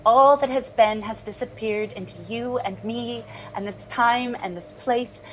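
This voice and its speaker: An actress playing a haunted, enraptured woman recounting a dream in a halting, wistful monologue. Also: on the high side, slight background noise, close to an everyday voice, low-quality recording. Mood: tender, wistful. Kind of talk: dramatic interview-style monologue.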